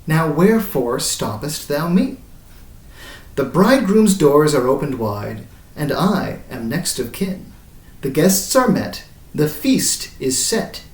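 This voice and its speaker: A man's voice: fairly high pitch, darkish tone. A man voicing an agitated wedding guest held against his will, protesting dramatically in archaic verse. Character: indignant, then fearful male wedding guest, unwillingly held. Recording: roomy echo, distant mic.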